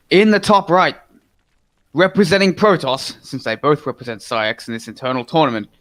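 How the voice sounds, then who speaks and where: A man's voice: a little high, unhurried, low-quality recording. Chatty Australian man casting a game. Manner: chatty, upbeat, informative. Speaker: esports caster. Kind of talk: live game commentary.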